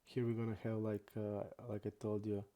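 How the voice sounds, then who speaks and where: A young man from Croatia, not an English speaker, chatting casually and haltingly while streaming poker. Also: on the low side, completely flat delivery, dark tone. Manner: halting non-native English, many restarts and fillers.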